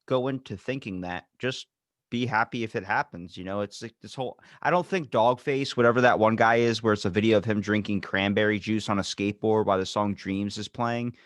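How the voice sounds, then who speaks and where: Young male host, lowish voice, blunt and profane, dry sound. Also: fairly quick, no echo. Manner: blunt, swears, animated rants. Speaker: podcast host. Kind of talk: casual podcast chat.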